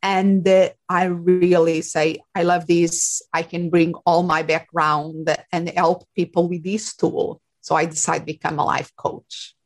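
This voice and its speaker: A woman's voice: lowish voice, little pitch movement, measured pace. A woman from Portugal speaking English with a Portuguese accent, a life coach answering interview questions in a reflective way, with many fillers. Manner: reflective, with frequent fillers and restarts. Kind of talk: casual interview talk.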